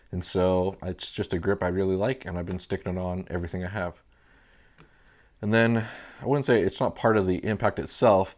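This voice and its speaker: A very low-voiced man in his thirties, congested and a little stuffy from a cold. He talks through his gear in a relaxed, easygoing way, recorded at a desk in a small indoor studio. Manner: relaxed, easygoing explanations with fillers. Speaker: airgun reviewer. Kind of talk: casual product walkthrough. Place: indoor studio room with red lights.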